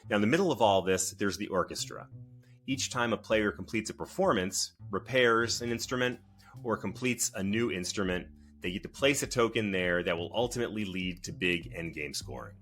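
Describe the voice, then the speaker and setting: A man's voice: lowish voice, expressive, moderate pace, fairly bright tone. A man co-hosting a board game podcast, reading a prepared explanation in an articulate, descriptive presenter's manner. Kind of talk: scripted explanatory narration.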